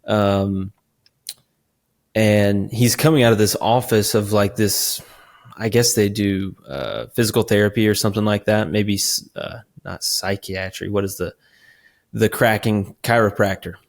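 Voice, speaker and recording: Low-voiced laid-back male co-host. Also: a little slow. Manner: relaxed storyteller, many ums and likes, dry humor. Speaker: podcast co-host. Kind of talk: casual chat.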